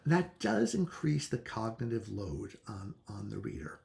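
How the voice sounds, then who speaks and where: Animated, chatty book reviewer with creaky voice. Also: mid-range voice, slow pace. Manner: chatty, opinionated, thinking aloud with hesitant phrasing. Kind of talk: casual book review monologue.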